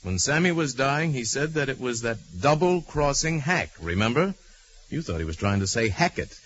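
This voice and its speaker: A man playing a calm, shrewd crime-solving hero with a measured, commanding manner in 1940s radio drama. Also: mid-range voice, lightly acted. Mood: calm explaining. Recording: muffled, faintly noisy vintage radio.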